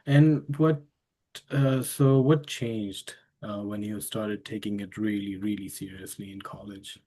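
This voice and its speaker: Halting male podcast host. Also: on the low side, fairly even intonation, mellow. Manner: halting, with many fillers and restarts. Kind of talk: interview questions.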